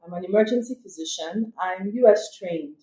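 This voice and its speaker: A middle-aged woman talks through her career in a relaxed, conversational way, with light laughs and fillers. Her voice is mellow and warm, and the room gives it a clear echo. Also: mid-range voice, moderate pace, slight background noise. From Nigeria. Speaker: emergency physician, guest. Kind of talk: casual interview answers. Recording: echoey room.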